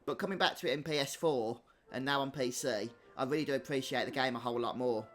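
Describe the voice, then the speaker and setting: A man giving casual, chatty live commentary as a gaming streamer, offering measured opinions. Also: medium pitch, fairly bright tone, faint music behind. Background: game soundtrack.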